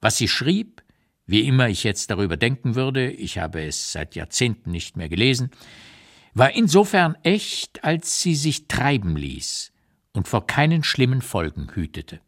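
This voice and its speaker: An actor playing a blunt, opinionated old émigré writer who looks back in a deliberate, emphatic literary monologue for a radio play. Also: male voice, mid-range voice, big pitch swings. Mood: critical, blunt.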